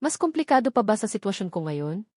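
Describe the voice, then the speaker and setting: A woman's voice: medium pitch, sing-song, fast, no room sound, studio quality. A woman narrator playing a wounded, sarcastic young single mother with a Filipino accent in an audio romance drama. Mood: angry, defiant. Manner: cold, sharp, accusing.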